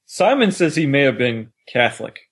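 A man with a medium-pitched voice co-hosts a podcast. He speaks in a casual, conversational way, talking through details of an audio drama with another host. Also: very animated, moderate pace, a bit bright, breathy edge.

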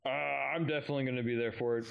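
Slangy man with a mid-pitched voice. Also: steady tone, quick pace, gravelly, clear, resonant tone. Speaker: podcast co-host. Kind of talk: casual, informal fan podcast chat.

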